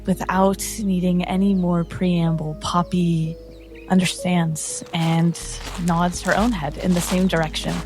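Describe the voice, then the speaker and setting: A woman with a slightly low voice narrates as a player in a fantasy tabletop game. Her phrasing is dramatic and descriptive. Music is clearly heard behind her. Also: level tone, unhurried, darkish tone. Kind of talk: in-character fantasy storytelling. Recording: music under the voice.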